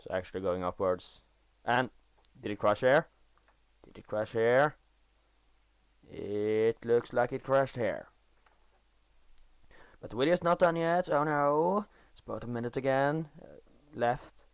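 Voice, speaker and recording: A Norwegian teenage boy, speaking English as a second language, giving casual commentary over his own gameplay footage in a halting, self-correcting way. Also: mid-range voice, clear, resonant tone, dry recording. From Norway. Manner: halting, self-correcting, enthusiastic about game details. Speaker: gaming video creator. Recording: dry voice-over recorded after gameplay.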